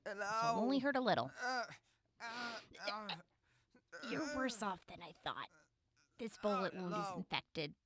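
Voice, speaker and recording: An actress playing a composed, authoritative young raider leader in an audio drama, speaking in a measured, deliberate way. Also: female voice, medium pitch, very animated, a crowd in the background, lightly acted. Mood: brisk, concerned. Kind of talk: post-apocalyptic audio drama acting.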